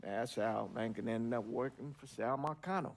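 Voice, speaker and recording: A man acts as a documentary interviewee in a game drama. He recounts the past in a measured, reflective way, at an ordinary male pitch. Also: slight background noise, close to an everyday voice. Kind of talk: documentary-style narration in a game.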